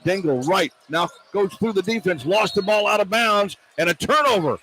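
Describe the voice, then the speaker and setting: Over arena noise, a man with a fairly high voice calls basketball live for radio. He moves through the action in short clipped phrases, drawing out words on the big moments. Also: expressive, moderate pace, fairly bright tone, low-quality recording. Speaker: radio play-by-play announcer. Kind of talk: live basketball play-by-play commentary. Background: arena ambience.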